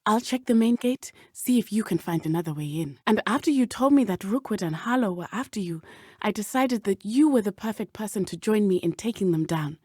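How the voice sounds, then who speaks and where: A woman's voice: mid-range voice, expressive, a little fast, warm tone, husky, dry recording, studio quality. A woman playing an earnest, brave teenage schoolgirl witch in a video game, speaking in formal, precise phrases. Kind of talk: video game character dialogue.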